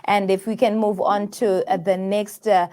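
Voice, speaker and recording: A woman's voice: mid-range voice, flat delivery, normal speed, darkish tone. A woman hosting a live call-in show, talking with many fillers and restarts as she takes viewers' calls. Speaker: host taking viewer calls.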